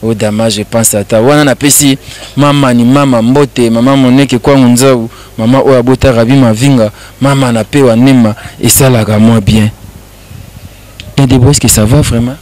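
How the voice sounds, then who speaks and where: A man's voice: mid-range voice, fairly even intonation, normal speed, a little mellow. A man hosting a talk show, introducing his guest in a rambling, chatty way. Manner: chatty, rambling, switching languages freely. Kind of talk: casual chat show hosting.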